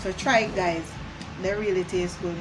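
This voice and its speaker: A woman's voice: fairly low pitch, fairly even intonation, normal speed, low-quality recording. A middle-aged woman with a Jamaican accent, chatting casually to viewers as a co-host. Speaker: co-host, the host's mother. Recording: music over the talk. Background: music.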